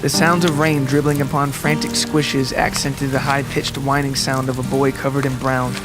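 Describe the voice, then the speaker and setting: A man reading a scripted literary story as a dramatic narrator, with deliberate, descriptive phrasing. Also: medium pitch, little pitch movement. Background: music and rain sounds.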